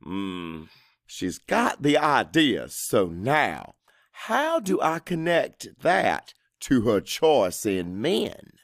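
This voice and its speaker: A man's voice: medium pitch, sing-song, very slow, creaky, no room sound, clean studio sound, exaggerated voice. A man playing a middle-aged Cajun father, anxious and guarded, narrating his own story in a brooding, conversational audiobook style. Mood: brooding, wry. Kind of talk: first-person dramatic audiobook narration.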